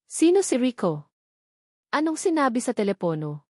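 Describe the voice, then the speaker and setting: Serious, protective romantic lead man, voiced by a female storyteller, calm and firm, dry recording. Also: fairly high pitch, normal speed, dry recording, pitched above the performer's own voice. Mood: firm, concerned. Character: steady, caring, serious man in love story. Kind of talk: dramatic storytelling dialogue.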